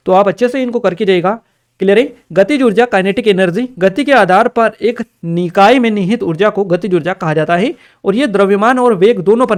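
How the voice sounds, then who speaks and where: A man explains exam questions as a coaching teacher, repeating key terms and reminders in an instructional way. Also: high voice. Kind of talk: explanatory exam-paper lecture to camera or screen.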